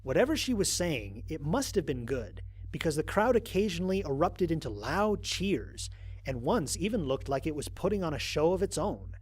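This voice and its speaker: A husky astronaut played by a man records his mission logs for a sci-fi audio drama. He is wry and grumbling, and his conversational delivery swings expressively up and down from a somewhat high-set male voice. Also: very animated, normal speed. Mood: reflective. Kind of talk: dramatized first-person log narration.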